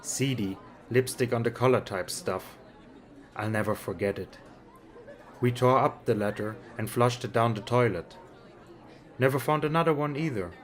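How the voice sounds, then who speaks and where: A weary middle-aged father, acted by a man, in an audiobook drama with faint chatter behind him. He is gently frank and resigned, speaking casually at a medium pitch. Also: moderate pace, natural voice. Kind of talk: amateur audiobook drama acting. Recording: background crowd chatter.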